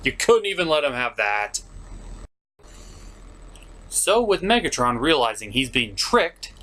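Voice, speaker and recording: A man's voice: medium pitch, sing-song, measured pace, sharp tone. A man delivering scripted comedic review commentary as a show host, emphatic and exasperated, with some swearing.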